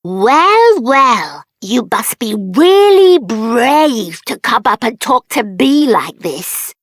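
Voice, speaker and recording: A small, boastful video game gatekeeper, plucky and cocky. The high voice bounces playfully up and down and takes its words very slowly. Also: female voice, very animated, no room sound, studio quality, exaggerated voice. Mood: cocky, challenging. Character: plucky, boastful little gatekeeper challenging travellers to fight. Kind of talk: video game character acting.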